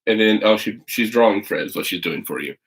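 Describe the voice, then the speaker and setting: A man's voice: on the low side, lively intonation, fast talker, fairly bright tone. A middle-aged American man hosting a casual livestream, chatting in a rambling way to viewers and a child. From United States. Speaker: host, teacher reading stories to viewers. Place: home, makeshift video corner.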